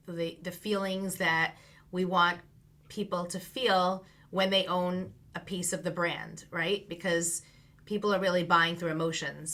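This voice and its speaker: Bright-voiced Long Island woman. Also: on the low side. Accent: Long Island New York. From Long Island, New York. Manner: chatty and laughing, storytelling. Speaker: guest, business coach. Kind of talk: casual interview chat.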